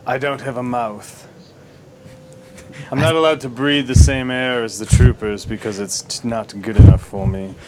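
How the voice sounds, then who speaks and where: A man's voice: medium pitch, fairly even intonation, normal speed, darkish tone, a little hiss or hum, lightly acted. A man voicing a self-important masked male imperial pilot in a game, with a mock-serious manner. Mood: pompous.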